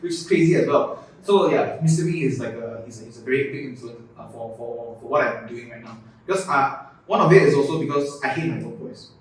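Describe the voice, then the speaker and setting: A man's voice: mid-range voice, a little fast, slight background noise. A young man, a content creator, chatting as an interview guest and often repeating his words. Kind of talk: casual podcast interview. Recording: echoey room, mic at a distance.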